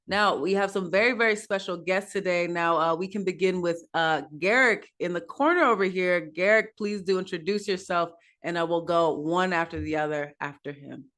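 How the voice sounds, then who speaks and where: A woman's voice: on the low side, moderate pace, hardly any pauses, fairly bright tone. A woman hosting a panel over a video call, upbeat and starting her sentences with "now". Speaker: host.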